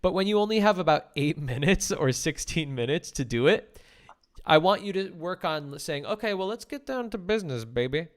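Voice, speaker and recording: A man's voice: a little high, expressive, normal speed. A man hosting as a relationship coach, directly and encouragingly guiding two guests on how to talk on a date. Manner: encouraging, direct, gives instructions. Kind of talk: coaching speed-dating guests.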